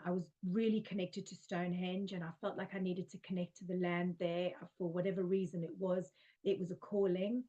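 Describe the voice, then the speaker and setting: A woman in her forties, originally from South Africa, speaks in a soft, heartfelt way. She circles around her points with fillers and restarts, at a middling pitch. The sound comes over a video call and is a touch dull and lo-fi. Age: 40s. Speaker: guest. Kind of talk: casual spiritual conversation.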